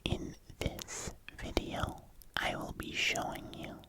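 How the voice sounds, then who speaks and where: Congested slow binaural whisperer. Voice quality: clicky mouth sounds. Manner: slow rambling whisper with many fillers. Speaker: ASMR creator. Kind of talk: whispered ASMR voiceover. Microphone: binaural microphone.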